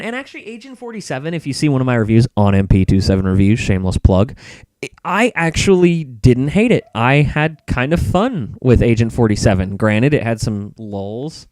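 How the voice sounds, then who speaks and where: A man's voice: mid-range voice, a little mellow, clear, resonant tone, no echo. A man talking casually as a podcast co-host and reviewer, giving opinions and explaining them at length. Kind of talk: casual podcast chat about movies.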